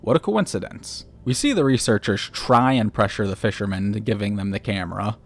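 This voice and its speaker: Casting: male video essayist with a lowish voice, recap and commentary style. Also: a little fast. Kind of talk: explainer commentary recapping a horror series.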